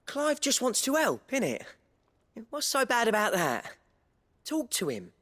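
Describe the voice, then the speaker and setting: High-pitched, lively British lad. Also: male voice, unhurried, heavily acted. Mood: pleading. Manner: informal, eager, chummy. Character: friendly, cheeky working-class young male sidekick. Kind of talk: video game voice acting.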